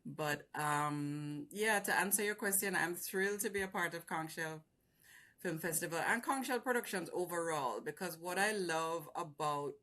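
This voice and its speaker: Laughing Jamaican woman, low bright voice. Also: moderate pace. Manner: effusive, laughs often, many restarts. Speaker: film festival programmer. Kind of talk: casual video-call chat.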